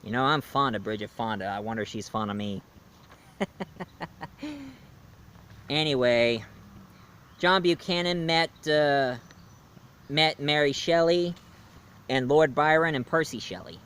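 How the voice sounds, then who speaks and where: A man's voice: medium pitch, unhurried, pauses often, fairly bright tone, clean tone. A man reviewing a movie in a casual, rambling way, with fillers and restarts. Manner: rambling, loose, with fillers and self-corrections.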